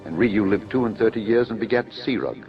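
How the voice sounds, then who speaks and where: A man narrating scripture in a solemn, measured, formal declamatory style, as for an audio Bible. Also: on the low side, sing-song, vocal fry. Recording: dull lo-fi audio under music. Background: music.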